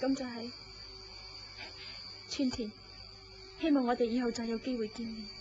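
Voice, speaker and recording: High-pitched woman acted, coy and wistful lover, expressive radio drama delivery, muffled lo-fi sound, loud noise behind. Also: normal speed, close to an everyday voice. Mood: sad, aggrieved. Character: affectionate married woman in a secret, wistful romance. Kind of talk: Cantonese horror radio drama acting. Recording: dull lo-fi audio, loud background noise.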